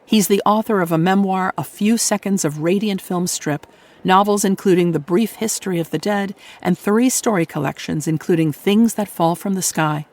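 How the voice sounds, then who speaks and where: A woman's voice: mid-range voice, moderate pace, a little mellow. A woman presenting as host, reading scripted introductions for a radio programme.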